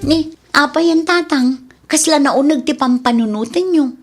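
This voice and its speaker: A woman's voice: high voice, normal speed, soft background music. A woman playing a caring, self-sacrificing elder daughter in a radio drama, earnest and concerned. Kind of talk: amateur radio drama acting.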